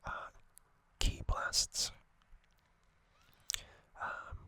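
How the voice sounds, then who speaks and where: An ASMR host whispering a relaxed trivia recap, soft and unhurried, with hesitant fillers and small self-corrections. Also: dry recording. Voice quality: fully whispered, breathy.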